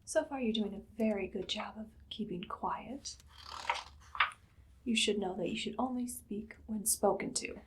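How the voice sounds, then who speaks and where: A woman playing a cruel, imperious evil queen in an ASMR roleplay, speaking softly and often slipping into a whisper. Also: mid-range voice, measured pace, heavy background noise, natural voice. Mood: menacing, coolly commanding. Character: haughty evil queen, cruel, darkly amused conqueror. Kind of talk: ASMR roleplay monologue, personal attention.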